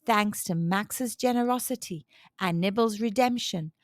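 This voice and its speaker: A woman narrating a scripted children's storybook in an expressive, measured storytelling manner. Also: mid-range voice, dry recording, studio quality.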